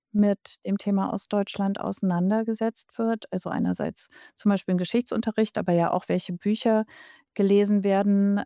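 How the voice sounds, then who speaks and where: Female interviewer, formal and measured, mellow tone. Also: medium pitch, no echo, clean studio sound. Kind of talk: interview question.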